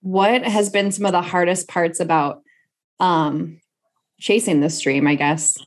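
A woman's voice: on the low side, steady tone, a little slow. A woman speaking as a podcast host, introducing and interviewing a guest. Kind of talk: conversational interview with a scripted introduction.

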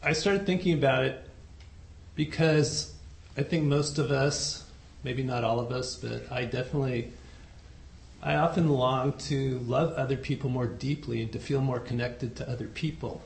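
A soft-spoken man giving a dharma talk to a group, unhurried and hesitant, with many fillers. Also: medium pitch, fairly even intonation, creaky. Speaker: dharma teacher. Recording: echoey room recording.

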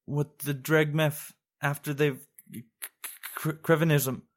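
A man voicing a young woman in a fantasy audiobook, speaking softly and carefully in a raised, creaky, husky voice. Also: medium pitch, unhurried, pitched above the performer's own voice, full character voice. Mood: uncertain. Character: young women: unsure elf pupil, coaxing imperial mistress.

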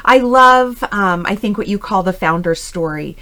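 A woman's voice: medium pitch, animated, moderate pace, pushed and bright. A woman hosting a conversational interview in an informal, encouraging manner. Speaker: interview host.

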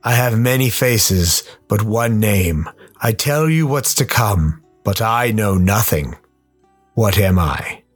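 A man playing a sly, towering demonic gardener in a radio play, courteously menacing, teasing and unhurried. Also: fairly low pitch, animated. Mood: gloating. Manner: unhurried, teasing, mock-polite. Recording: music behind the voice.

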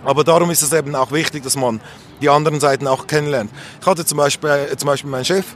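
Candid Swiss man with higher-pitched voice. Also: steady tone, fast. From Switzerland. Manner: candid, colloquial, rambling. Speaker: street interviewee. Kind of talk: casual personal reflection. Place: outdoors on a street. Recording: faint outdoor background noise.

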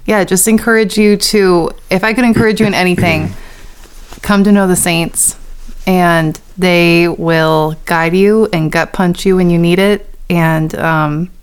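Young female co-host, a bit low-pitched, friendly and easygoing. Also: fairly even intonation, measured pace, clean tone. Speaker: podcast co-host. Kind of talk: casual chat.

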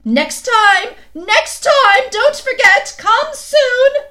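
A woman's voice: fairly high pitch, very animated, moderate pace. Woman doing a silky, scheming queen. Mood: coaxing. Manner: coaxing, silky, suddenly sharp. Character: sweetly scheming cold queen, tall and commanding.

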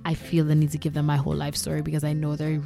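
Low-voiced Grenadian woman, candid host. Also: monotone, racing, creaky, dark tone, faint music behind. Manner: candid, exasperated, chatty. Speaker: podcast host. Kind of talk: casual monologue.

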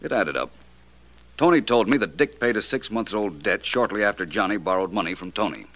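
An actor playing a confident American detective in 1940s radio style, speaking deliberately with self-assured, dramatic emphasis. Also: male voice, lowish voice, animated, fairly bright tone, rough recording. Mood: assured, explaining. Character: confident, sharp-witted male detective explaining a murder case.

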